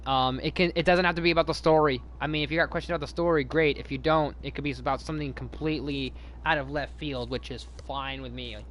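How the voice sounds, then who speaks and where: A man's voice: on the high side, steady tone, fast, few pauses, fairly bright tone, a little hiss or hum. A man hosting a casual live stream, chatting to viewers in a rambling way, repeating himself and restarting his sentences.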